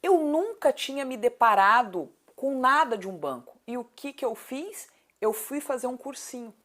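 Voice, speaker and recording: A woman's voice: high-pitched, sing-song, normal speed, fairly bright tone. Emphatic southern Brazilian woman, late thirties. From Paraná, southern Brazil. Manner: emphatic, repeats points, asks rhetorical questions. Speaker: city council candidate, interview guest. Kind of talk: conversational interview answers.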